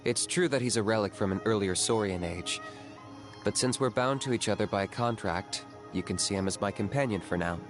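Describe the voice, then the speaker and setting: A composed young hunter played by a male actor who explains things evenly and without fuss. His voice sits low for a man. Soft music plays underneath. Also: natural voice. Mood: explaining. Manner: calm, measured, even-tempered.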